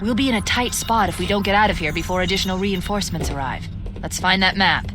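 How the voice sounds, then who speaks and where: A woman's voice: medium pitch, quick pace, close to an everyday voice. A woman playing an analytical AI guide in a video game, speaking in precise, articulate tactical briefings. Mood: analytical, intrigued. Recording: loud music behind the voice.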